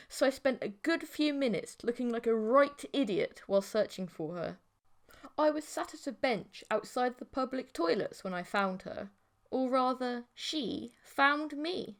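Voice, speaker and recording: A woman reads a scripted first-person story as an audiobook narrator. Her voice is fairly high and her pace is slow, with very animated intonation.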